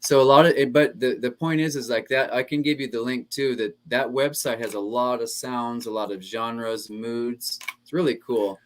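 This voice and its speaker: A male host leading a casual conversation, easygoing and agreeable. He fills his sentences with ums and little affirmations, at a middle pitch. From southern California. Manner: relaxed, many fillers and quick agreements. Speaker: show host. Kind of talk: casual interview.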